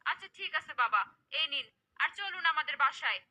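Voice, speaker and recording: Lady doing a bossy cartoon mum, extremely high piercing voice, gullible n dramatic. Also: lively intonation, fairly quick, sharp tone, a little hiss or hum, full character voice. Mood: eager. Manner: pushy, dramatic. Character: gullible, greedy, bossy wife and mother. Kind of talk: cartoon character dialogue.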